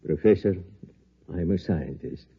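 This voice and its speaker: An actor playing a measured, sceptical scientist, formal and restrained, in a 1950s radio drama. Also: male voice, low-pitched, lively intonation, slightly rough, exaggerated voice. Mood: thoughtful. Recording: tinny, noisy 1950s radio recording.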